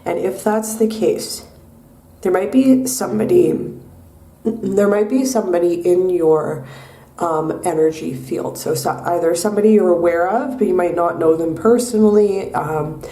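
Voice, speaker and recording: A young woman giving a tarot reading in a soft, unhurried way with many pauses and ums, her voice nasal from a cold. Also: lowish voice, recorded from a distance. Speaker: tarot reader. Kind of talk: pick-a-card tarot reading. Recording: echoey room, mic set back.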